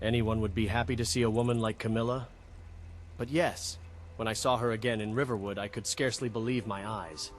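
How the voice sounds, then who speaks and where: A man playing an earnest wood elf companion in a fantasy game, speaking in a measured, reflective way. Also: lowish voice, steady tone, a little hiss or hum, natural voice. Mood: earnest, wistful. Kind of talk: scripted game companion dialogue. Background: game music behind the voice.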